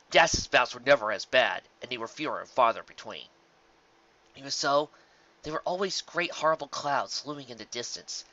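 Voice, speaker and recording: A man narrates a story from a script with dramatic expression. His mid-pitched voice is very bright and tense, and the recording sounds a little dull and lo-fi. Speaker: narrator reading fan fiction.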